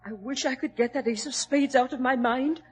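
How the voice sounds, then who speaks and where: A woman's voice: high-pitched, a little fast, a little hiss or hum, lightly acted. An actress in an old radio drama playing a fretful, genteel aristocratic mother who frightens easily. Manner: fussy, genteel, easily alarmed. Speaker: Lady Maynooth. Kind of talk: 1940s radio acting. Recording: old radio broadcast recording.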